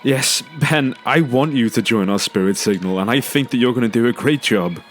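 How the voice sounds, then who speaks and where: A man's voice: mid-range voice, moderate pace, lightly acted. An actor playing an earnest, self-doubting father with a hesitant, stammering way of talking in an audio drama. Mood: sincere, reassuring. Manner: hesitant, stammering, repeats words. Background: music.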